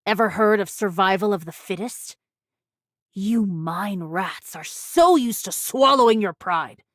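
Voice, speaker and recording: A woman's voice: mid-range voice, animated, unhurried, fairly bright tone, no echo, studio quality. A woman voicing a vengeful, taunting female pirate in an anime video game, with a menacing, theatrical way of talking. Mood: scornful, threatening. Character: vengeful, taunting female pirate first mate, one-eyed ex-convict.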